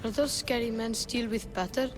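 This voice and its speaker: A woman's voice: a little high, steady tone, moderate pace, music in the background, lightly acted. A woman voicing an anxious, petulant little child in a video game. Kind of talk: dramatic video game dialogue.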